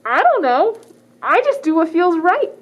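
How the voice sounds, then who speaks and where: A woman's voice: very high-pitched, sing-song, unhurried, dull audio, pitched above the performer's own voice, exaggerated voice. A woman voicing a cheerful, carefree pigeon who is different from the rest, light and easygoing.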